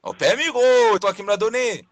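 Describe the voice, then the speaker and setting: A man's voice: high voice, brisk, a loud crowd. A man making a casual prank phone call in slangy speech, insistent and often cutting in. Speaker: prank caller.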